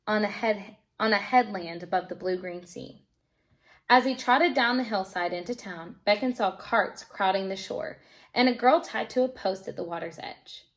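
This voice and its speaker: A woman teacher reading a children's storybook aloud in an expressive narrating style. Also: medium pitch.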